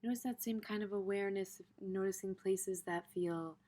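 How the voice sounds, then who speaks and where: A woman teaching a gentle guided meditation in an informal, tentative way, pausing in the middle of her phrases. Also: medium pitch, fairly even intonation.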